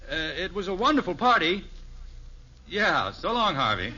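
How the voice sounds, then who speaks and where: A man's voice: high-pitched, sing-song, normal speed, heavy background noise. An actor playing a genial, folksy middle-aged American husband and father in a 1950s radio sitcom. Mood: awkward, hearty. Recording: muffled vintage radio recording.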